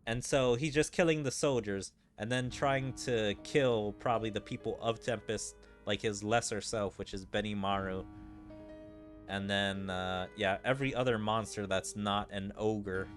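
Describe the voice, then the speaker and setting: A man's voice: fairly low pitch, steady tone, normal speed, faint music behind. A man streaming a game and giving casual commentary in rambling, hesitant explanations peppered with filler words. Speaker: gaming streamer.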